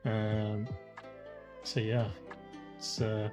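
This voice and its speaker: A man in his thirties chatting casually as a stream host, rambling with lots of fillers, his voice slightly croaky. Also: fairly low pitch, monotone, very slow, darkish tone. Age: 30s. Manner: rambling, chatty, many fillers, greets viewers by name. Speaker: livestream host. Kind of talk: casual live-stream chat. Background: faint lo-fi music.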